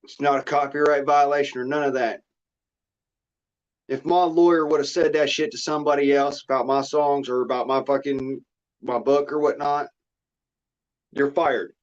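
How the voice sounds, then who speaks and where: A man's voice: mid-range voice, level tone, moderate pace. Folksy American man, dull lo-fi sound. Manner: blunt, folksy, profane. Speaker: livestream host. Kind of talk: rambling casual monologue. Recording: dull, lo-fi audio.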